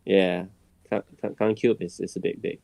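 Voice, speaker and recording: A man chatting casually as a podcast panelist, haltingly repeating words as he makes his point. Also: sits low, flat delivery, vocal fry, mellow. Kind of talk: casual roundtable chat.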